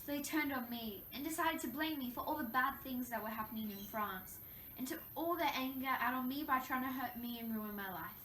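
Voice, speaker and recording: A woman's voice: sits high, a little fast, a bit bright. A woman playing a wronged young French queen in a scripted interview role play, recounting her troubles in a formal, recited way. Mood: hurt, sorrowful. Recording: echoey room, distant mic, faint noise.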